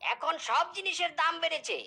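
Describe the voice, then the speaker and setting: A woman's voice: very high voice, expressive, a little fast, vocal fry, pushed and bright, exaggerated voice. A woman voicing a blunt, exasperated sweet-shop seller in a comedy cartoon, explaining things with open scorn. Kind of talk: comedy cartoon character dialogue.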